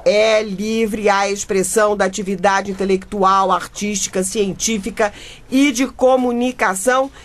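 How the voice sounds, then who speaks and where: A woman's voice: medium pitch, steady tone, a little slow, natural voice. An actress with a Brazilian accent playing an indignant, crusading mother and newspaper reporter in a comedic radio drama, emphatic and dramatic. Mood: determined. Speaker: actor.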